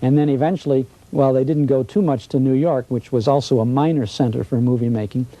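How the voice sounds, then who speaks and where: An older man giving informal historical commentary in an interview, explaining in measured phrases. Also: medium pitch, fairly even intonation, warm tone, no room sound, poor audio.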